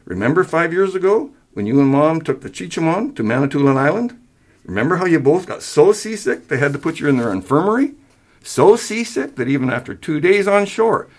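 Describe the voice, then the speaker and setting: Man acting out an exasperated grown son, swooping pitch. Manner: emphatic, sarcastic. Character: exasperated, reasonable grown-up son, patient turning incredulous. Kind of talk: acted dialogue in a story reading.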